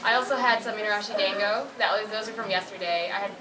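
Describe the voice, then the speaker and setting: A woman's voice: medium pitch, fast, lightly acted. A woman as prickly polite teen anime boy, echoey. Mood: earnest. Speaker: cosplay panelist. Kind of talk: improvised in-character panel banter. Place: convention panel room with audience. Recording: echoey noisy convention room, far from mic.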